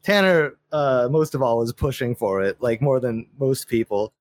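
A man's voice: medium pitch, normal speed. A man in a casual podcast chat, rambling and swearing as he defends his grievances. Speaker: guest.

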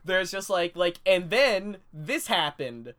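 A man's voice: high voice, very animated, slow, sharp tone. A young adult man co-hosting a podcast, nasal and congested from a cold, chatting casually and laughing often. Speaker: podcast co-host. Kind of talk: casual chat.

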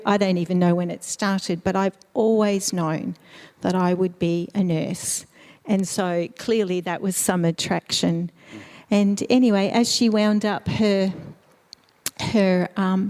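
A middle-aged woman, born in Eastern Europe and raised in Australia, recounts her calling as a missionary nurse. She speaks earnestly and thoughtfully, pausing with soft ums and small laughs, at an ordinary middle pitch. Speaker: missionary nurse, interview guest. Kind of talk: church interview, personal testimony. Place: church sanctuary.

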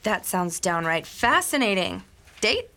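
A woman playing a nosy, excitable, teasing office coworker in a naturalistic audio drama. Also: mid-range voice, a little slow, slight background noise. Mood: teasing, intrigued.

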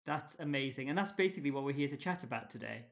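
A man hosting a podcast interview, relaxed and hesitant, with many fillers. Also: medium pitch, fast. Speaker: podcast host. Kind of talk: casual interview chat.

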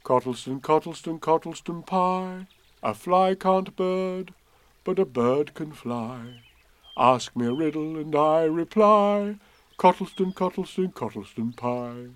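A man's voice: fairly high pitch, lively intonation, a little slow, hardly any pauses, a little mellow, pitched above the performer's own voice. A man voicing a friendly, simple-minded little bear from a children's storybook, with an innocent, earnest, singsong delivery. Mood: cheerful singsong.

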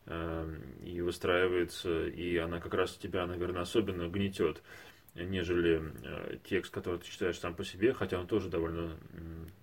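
A man's voice: sits low, little pitch movement, moderate pace. A man co-hosting a podcast, discussing reflectively and conversationally, analytical and often searching for words. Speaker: podcast co-host.

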